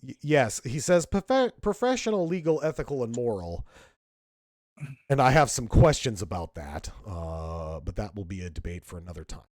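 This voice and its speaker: Animated, indignant man on a podcast. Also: medium pitch, normal speed, dry recording, clean studio sound. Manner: emphatic, indignant, lots of restarts. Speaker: podcast co-host. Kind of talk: casual political commentary.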